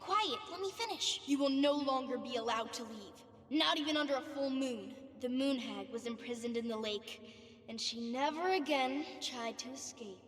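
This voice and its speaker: A woman's voice: sits high, normal speed, a bit bright. A woman acting in a video game as an eager little girl, telling a fairy tale with theatrical pride. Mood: dramatic storytelling. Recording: echoey hall-like reverb on flashback.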